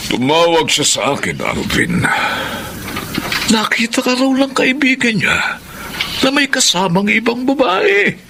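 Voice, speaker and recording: A man's voice: very high-pitched, wildly swooping pitch, measured pace, gravelly, pauses often, full character voice. A man playing a worried, caring older Filipino father in a radio drama. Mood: worried, weary. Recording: noisy background ambience.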